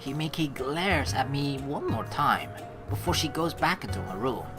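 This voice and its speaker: A young woman reading a visual novel aloud with joking, swearing asides, animated and quick to laugh. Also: rumbling low, soft background music. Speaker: let's play streamer reading a visual novel.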